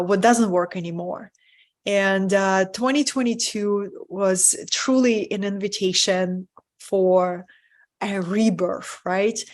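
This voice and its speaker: A woman with a Russian accent, speaking English as her second language, leads a live online training for her community. She talks earnestly and keeps asking her viewers "right?" as she goes. Her voice sits at an ordinary middle pitch. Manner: warm, earnest, often asks "right?" to the audience. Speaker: spiritual business mentor. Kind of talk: live webinar presentation.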